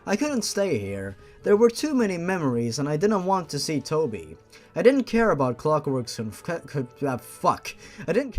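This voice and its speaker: A man reading fan fiction aloud as a host, with sarcastic, exasperated asides and casual swearing. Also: fairly high pitch.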